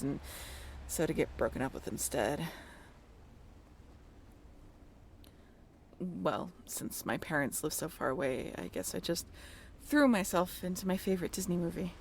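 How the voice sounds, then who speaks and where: A woman's voice: lowish voice, moderate pace, a little mellow, slight background noise, lightly acted. A woman playing a friendly, flustered young former college roommate in a romantic audio roleplay, talking in a bashful, hesitant, giggly and apologetic way. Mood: wry, reminiscing. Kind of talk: romantic audio roleplay, naturalistic one-sided conversation.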